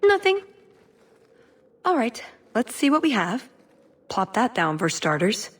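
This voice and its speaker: Fantasy game elf adventurer: playful, quick-witted, higher-pitched, very lively intonation. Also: female voice, breathy edge, exaggerated voice. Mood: playful, wry. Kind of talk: scripted fantasy game dialogue.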